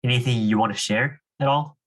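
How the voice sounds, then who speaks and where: A man's voice: medium pitch, fairly quick. An encouraging, informal man leading a reading club, reading aloud and chatting with students. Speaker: reading club leader and coach.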